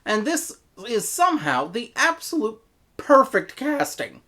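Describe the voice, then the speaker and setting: A woman with an American accent giving a scripted review in an animated manner, with bursts of mock-exasperated emphasis. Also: a little high, a little slow. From United States. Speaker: audio drama reviewer.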